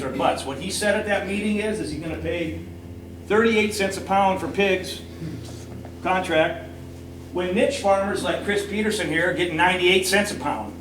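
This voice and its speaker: A man giving impassioned, emphatic public comment, repeating points for stress. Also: on the high side. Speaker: citizen speaker at a public council meeting. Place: indoor council meeting room. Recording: echoey meeting room, distant mic, noisy hum.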